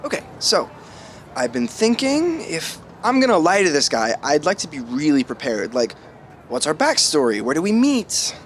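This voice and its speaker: A man's voice: on the high side, sing-song, moderate pace, slight background noise. A man playing an honest, reluctant twenty-something in a podcast sitcom, earnest and incredulous. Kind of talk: sitcom acting.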